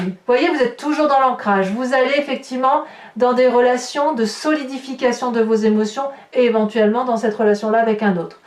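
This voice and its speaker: A woman with a fairly high voice talks viewers through an improvised tarot reading. She addresses them directly and expressively. The sound is very roomy, with the microphone some way off. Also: a little hiss or hum. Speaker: tarot reader hosting a horoscope video. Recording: roomy echo, distant microphone.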